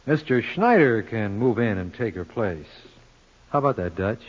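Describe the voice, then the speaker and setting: Cocky American radio sleuth, animated intonation, glib wisecracks, muffled vintage broadcast, lawyerly. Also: male voice, on the low side, normal speed. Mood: teasing. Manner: wry, glib, punchy delivery. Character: wisecracking, sharp-witted male lawyer-sleuth, breezy and cocky. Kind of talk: 1940s-50s American radio detective drama acting. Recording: dull old radio broadcast, music at times.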